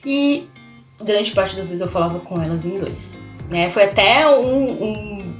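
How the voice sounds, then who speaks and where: A woman's voice: mid-range voice, expressive, measured pace, faint music behind. Chatty young woman vlogging in echoey room. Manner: chatty, many fillers and tag questions. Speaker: language channel host. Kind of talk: casual vlog talk to viewers.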